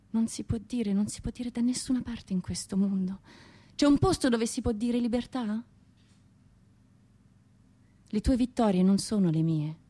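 A woman's voice: mid-range voice, dark tone, close to an everyday voice. Actress as an anguished, defiant woman poet, declaiming. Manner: dramatic, declamatory, with deliberate pauses and repetitions. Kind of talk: theatrical radio monologue, declaimed poetry.

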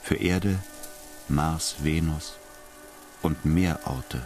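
Deep male radio drama narrator. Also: extremely slow, darkish tone. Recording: music behind the voice.

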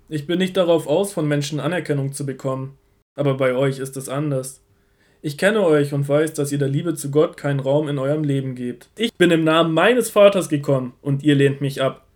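A solemn, authoritative male teacher played by an actor in a Bible audio drama. His voice sits a little high for a man, and he declares his words earnestly, with calm assurance. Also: close to an everyday voice. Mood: earnest, admonishing.

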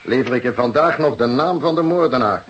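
A wry police technician played by a male actor in a radio play, proud of his work and drily playful. His mid-pitched voice comes through a dull recording with faint noise. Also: expressive, fairly quick, fairly bright tone, natural voice. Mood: smug, playful. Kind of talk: Dutch radio drama acting.

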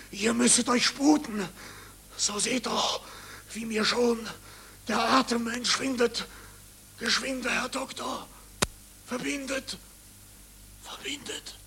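An actor playing a desperate, dying wounded soldier who pleads in slow, strained verse in a German radio play. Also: male voice, very high voice, gravelly, pushed and bright, slightly rough, exaggerated voice. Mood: fading, gasping.

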